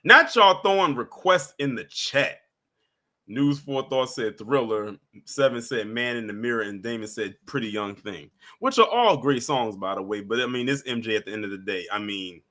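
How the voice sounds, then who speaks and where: A man's voice: medium pitch, sharp tone. A man hosting a casual livestream, reading out viewer comments in a laid-back way with frequent chuckles. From US East Coast. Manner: laid-back, chuckles often, trails off and restarts. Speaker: livestream host.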